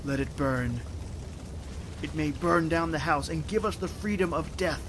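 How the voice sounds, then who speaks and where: Man playing a doomed, high-voiced haunted uncle. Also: unhurried, fairly bright tone, a little hiss or hum. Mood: grave despair. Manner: grave, pleading, melodramatic. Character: doomed, tormented uncle trapped in a haunted shadow world. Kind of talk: old-time radio drama acting.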